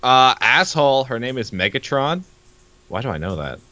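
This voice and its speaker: A man's voice: medium pitch, very animated, moderate pace, sharp tone, no room sound. A man co-hosting with casual, joking commentary and a crude, wisecracking manner. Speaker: co-host of a comic read-along video.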